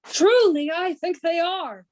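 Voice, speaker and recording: A woman playing an eager, helpful young gentleman in an amateur Shakespeare radio play. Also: extremely high pitch, takes it slowly, slight background noise. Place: home, recorded over a video call.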